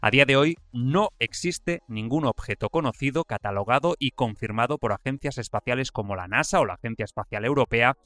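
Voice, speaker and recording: A man with a Spanish accent from Spain, a podcast host reading a scripted science explainer in a measured, didactic way. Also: mid-range voice, fairly bright tone, dry recording.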